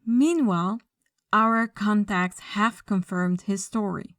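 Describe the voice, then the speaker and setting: A woman playing a commanding, coolly threatening female mafia boss in an audio roleplay, speaking deliberately and with authority. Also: medium pitch, dry recording, studio quality, close to an everyday voice. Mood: composed, decisive. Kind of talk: ASMR audio roleplay acting.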